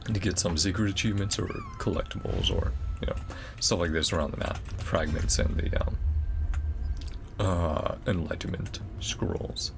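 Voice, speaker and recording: A man's voice: low voice, normal speed, creaky, music in the background. A man giving casual, easygoing gameplay commentary, rambling as he thinks aloud. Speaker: gaming video host.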